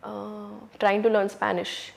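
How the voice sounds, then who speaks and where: A woman's voice: mid-range voice, monotone, slow, vocal fry. A woman from Hyderabad with an Indian English accent, an actress answering interview questions candidly and reflectively, often saying "you know" and "um". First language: Urdu. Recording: hall reverb.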